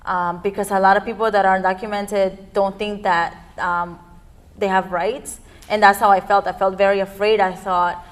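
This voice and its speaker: A woman's voice: medium pitch, flat delivery, moderate pace. Earnest young woman activist from Peru. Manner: earnest, many fillers. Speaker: youth immigrant activist guest. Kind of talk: interview answers.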